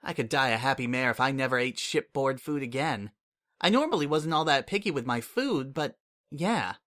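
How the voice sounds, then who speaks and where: A man reading a fantasy adventure aloud, telling it in first person at an easy, conversational pace. His voice sits mid-range with a bright, forward tone. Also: medium pitch, animated, moderate pace. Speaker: story reader. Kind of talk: dramatic audiobook narration.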